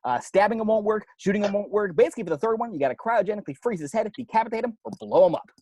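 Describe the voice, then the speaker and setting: A man's voice: high-pitched, lively intonation, rapid-fire, a little mellow, no echo, studio quality. A man playing a dead young film geek who explains horror rules on a homemade video, emphatic and stop-and-start, in an amateur table read. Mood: earnest, ominous.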